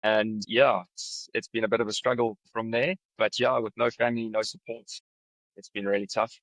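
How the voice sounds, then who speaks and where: A man's voice: on the low side, level tone, moderate pace, fairly bright tone, no room sound, rough recording. A South African man in his thirties, a podcast guest telling his story in an easygoing way. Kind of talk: casual interview.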